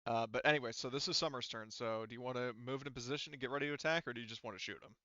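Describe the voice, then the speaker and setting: A man's voice: mid-range voice, very fast, pushed and bright, no room sound, lo-fi sound. A man speaking casually and explanatorily as game master of a tabletop roleplaying game on an online call.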